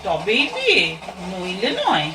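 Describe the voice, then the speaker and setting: A woman's voice: mid-range voice, wildly swooping pitch, slow. A woman playing a friendly young train passenger in an audio play, direct and inquisitive in her manner. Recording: slightly distant from microphone.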